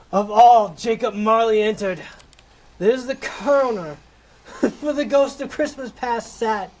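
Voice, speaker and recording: Old male miser, very high pitch, slow delivery, theatrical, emotional, exclamatory, comic audio drama. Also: a little mellow, poor audio. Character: repentant old male miser, desperate then giddily overjoyed. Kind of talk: amateur comic audio play acting.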